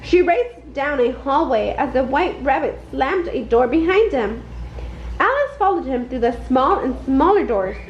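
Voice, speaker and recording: A woman's voice: sits high, animated, measured pace. A woman reading a children's bedtime story aloud from a script. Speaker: storybook reader. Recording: dull, roomy, faint background noise.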